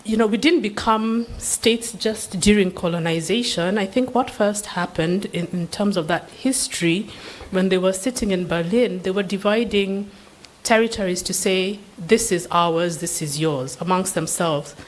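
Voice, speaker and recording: A woman's voice: mid-range voice, moderate pace, low-quality recording. A woman speaking as a seminar panelist, giving an unscripted answer in an earnest, questioning way with frequent restarts. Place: seminar room.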